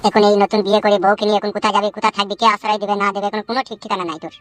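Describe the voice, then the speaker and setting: A man's voice: sits high, flat delivery, rapid-fire, no echo, close to an everyday voice. A man voicing a nosy, sympathetic young village friend in a comic cartoon, chummy. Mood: concerned.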